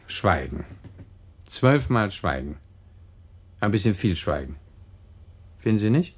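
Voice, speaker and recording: Fussy drama editor with swooping intonation. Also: male voice, on the low side, sing-song, slight background noise. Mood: musing, dissatisfied. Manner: musing, halting. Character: fussy, deliberating male radio drama editor. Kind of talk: radio play dialogue.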